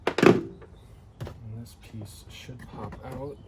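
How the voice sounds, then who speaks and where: Guy doing a diy vid, talking himself thru it kinda unsure, normal pitch, noisy background. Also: steady tone, extremely slow. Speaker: DIY video host. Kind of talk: casual hands-on narration while working.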